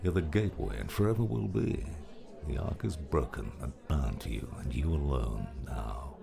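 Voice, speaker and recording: An actor playing a grave, knowing old male mentor in a dramatized audiobook, speaking in a deliberate, weighty way. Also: rumbling low, expressive, creaky, full character voice. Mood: solemn, urgent. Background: background music.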